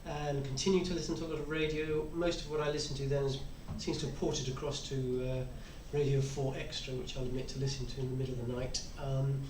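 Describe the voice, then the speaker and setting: A man's voice: medium pitch, darkish tone. A man in his sixties answering conversationally as a panellist at a convention. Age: 60s. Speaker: publisher and podcaster, panellist. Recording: echoey room, distant mic, faint noise.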